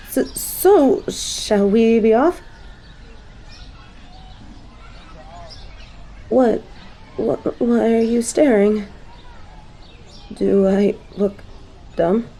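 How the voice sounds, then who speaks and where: A woman's voice: fairly high pitch, warm tone. A woman voicing a snobby but secretly sweet young angel who stammers and gets flustered, in a romantic audio roleplay. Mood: flustered, shy. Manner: stammering, flustered, haughty when embarrassed.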